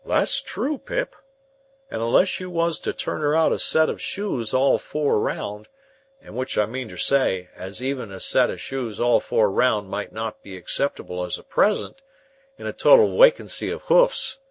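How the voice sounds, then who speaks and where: A man voicing a slow, kindly working-class blacksmith, speaking with rustic grammar in a ponderous, emphatic way. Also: mid-range voice, expressive, a little hiss or hum. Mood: earnest.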